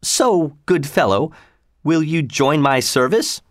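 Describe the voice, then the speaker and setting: Pompous storybook sheriff, haughty, raised pitch, dry close sound, a male narrator doing him. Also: animated, slow, dry recording. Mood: persuasive. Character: pompous proud middle-aged male sheriff.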